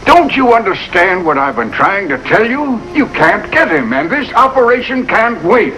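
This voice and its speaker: An earnest chief of staff in an old TV medical drama. His voice is fairly high and swings widely in pitch, with formal, emphatic delivery. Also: big pitch swings, moderate pace, pushed and bright, a little hiss or hum, full character voice, low-quality recording. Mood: exasperated. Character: earnest, exasperated male hospital chief of staff. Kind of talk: 1950s television drama acting.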